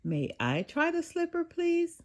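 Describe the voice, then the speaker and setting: A woman voicing a young, gentle, polite girl heroine in a storybook, speaking softly and sweetly. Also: very high-pitched, huge pitch swings, a little slow, pitched above the performer's own voice, exaggerated voice. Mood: sweet pleading. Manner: soft, breathy, pleading.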